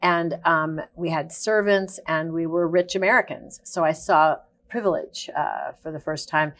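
A woman around sixty, a guest in a studio interview, telling her life story in long, searching sentences with many pauses and ums. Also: low voice, fairly bright tone. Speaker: guest, activist. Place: TV studio set.

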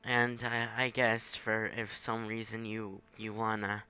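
A man giving a casual, improvised software tutorial in a halting, self-deprecating way on a home microphone. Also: fairly low pitch, flat delivery, clear, resonant tone, dull audio. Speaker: tutorial maker. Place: at a home computer, screen recording.

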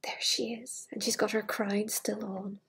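A middle-aged woman with a breathy voice, reading a fairytale to children with gushing, chatty asides in a living room. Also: mid-range voice, level tone, measured pace, a bit bright, a little hiss or hum. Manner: gushing, wistful enthusiasm for the book. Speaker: children's storyteller. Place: living room with large glass doors. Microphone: device camera at arm's length.

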